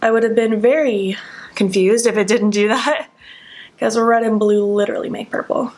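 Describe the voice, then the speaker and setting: A young woman in a casual makeup tutorial, talking softly with a sleepy early-morning voice and narrating each step as she works. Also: a little high, fairly even intonation, moderate pace. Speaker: beauty vlogger. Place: at home.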